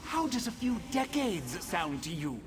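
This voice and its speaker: A haughty demon prince from a video game, high-voiced and swooping through his phrases. He is theatrical and condescending, savoring his menace with mock politeness. A clear ambient rumble sits behind him. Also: sing-song, unhurried. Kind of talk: video game villain acting.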